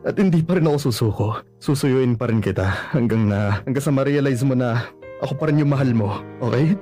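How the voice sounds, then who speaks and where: Actor as heartbroken young man, earnest pleading, low voice, radio drama. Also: expressive, brisk, mellow, faint music behind, close to an everyday voice. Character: heartbroken young man who won't give up.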